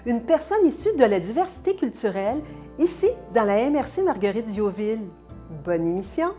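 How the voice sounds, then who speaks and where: A woman's voice: fairly high pitch, big pitch swings, normal speed, music in the background. A woman hosting a broadcast interview, introducing her guest and asking questions with enthusiastic praise. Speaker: host.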